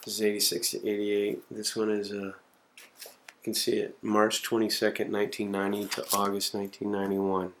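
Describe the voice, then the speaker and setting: A man in his forties talks to his home camcorder in a rambling, self-mocking way, swearing freely. His voice sits low. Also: completely flat delivery, brisk, slight background noise, rough recording. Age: 40s. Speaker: video diarist. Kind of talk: casual self-recorded monologue.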